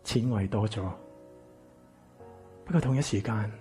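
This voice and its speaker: A man's voice: fairly low pitch, normal speed, warm tone, soft background music, close to an everyday voice. A man playing a kind, wistful man with family regrets in a Cantonese radio drama. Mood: sober, reflective.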